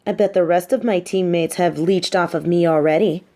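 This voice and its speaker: A woman's voice: fairly low pitch, fairly even intonation, normal speed, dark tone, lightly acted. A woman playing a smug, mean but sympathetic supervillain captor in an audio roleplay, talking in a taunting, theatrical, conversational way. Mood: boastful. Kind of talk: roleplay audio drama monologue.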